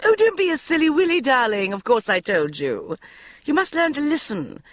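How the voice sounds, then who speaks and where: A woman voicing a flighty, middle-aged mother who talks in a high-pitched, hysterical, gushing way. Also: sing-song, normal speed, no echo, clean studio sound. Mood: wheedling. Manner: breathless gushing, wheedling.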